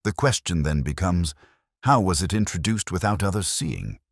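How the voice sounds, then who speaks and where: A man's voice: sits low, animated, moderate pace, creaky, dry recording, heavily acted. A man voicing a precise, commanding male detective with dry wit and a clipped manner in an audiobook. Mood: incisive. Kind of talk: audiobook character dialogue.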